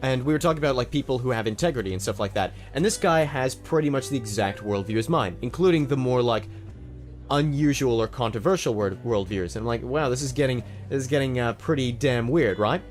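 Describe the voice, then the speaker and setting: A man's voice: mid-range voice, fairly quick, soft background music. Man with a slight Australian lilt. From Canada. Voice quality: rambling, restarts a lot, gets excited. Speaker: podcast co-host. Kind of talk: casual storytelling chat.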